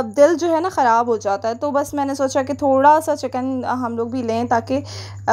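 High-voiced chatty Pakistani woman vlogger. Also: a little mellow. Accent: Pakistani Urdu. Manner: chatty, rambling, with many fillers. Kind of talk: voice-over narration of a shopping vlog.

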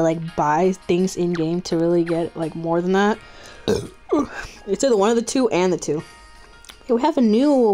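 A woman's voice: on the low side, mellow. A woman streaming a game with casual playthrough commentary, offhand and rambling, often interrupting herself.

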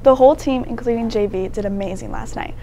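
A woman's voice: a little high, fast talker, warm tone, slight background noise. A teenage girl co-anchoring a school newscast and reading sports announcements. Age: teens. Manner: scripted announcements. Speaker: student news anchor. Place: school TV studio desk.

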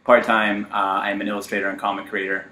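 A man in his thirties, a guest on a podcast, talking loudly and upbeat in casual chat with many fillers. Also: lowish voice, monotone, fast, slight background noise. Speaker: guest, art teacher. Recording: roomy echo.

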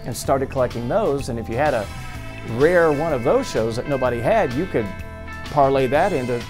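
A man's voice: medium pitch, lively intonation, normal speed. A man in his fifties with an American accent, a radio host telling his story as in an interview. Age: 50s. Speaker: old-time radio show host. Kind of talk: interview reminiscence. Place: small radio studio office. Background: plucked guitar music.

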